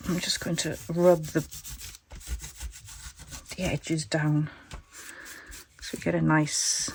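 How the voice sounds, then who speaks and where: A woman with a croaky voice, thinking aloud as she demonstrates a casual craft tutorial. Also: low voice, level tone, slow pace, darkish tone, a little hiss or hum. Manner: chatty, thinks aloud while working. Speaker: crafter demonstrating junk journal covers.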